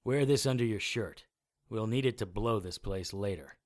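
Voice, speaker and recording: A man playing a supernatural detective who narrates his grim adventure in measured, hardboiled audio drama style. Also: fairly low pitch, a little mellow, no echo, clean studio sound, close to an everyday voice. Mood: matter-of-fact. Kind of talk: first-person scripted audio drama narration.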